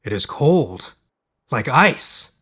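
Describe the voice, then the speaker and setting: A man's voice: mid-range voice, big pitch swings, extremely slow, sharp tone, husky, heavily acted. Man voicing a proud, confident young knight. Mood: curious. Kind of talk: fairy-tale audiobook character voice.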